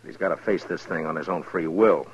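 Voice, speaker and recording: An actor playing a stern, steady frontier marshal in the style of a 1950s radio western. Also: male voice, low-pitched, fairly quick, breathy edge. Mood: firm, grave. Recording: dull lo-fi old radio broadcast, some music.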